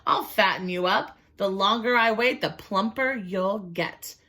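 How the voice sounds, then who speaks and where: A woman's voice: medium pitch, unhurried, sharp tone. A woman voicing a hungry, scheming female crocodile in a read-aloud storybook, gloating as she talks. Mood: sly. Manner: gloating, mocking laugh.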